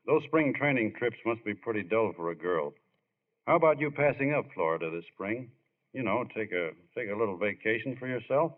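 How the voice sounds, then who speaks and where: An actor playing a plain-spoken, affectionate ballplayer husband with a halting, understated manner, in 1940s radio style. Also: male voice, lowish voice, lively intonation, natural voice. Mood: tentative, tender. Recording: muffled old radio recording.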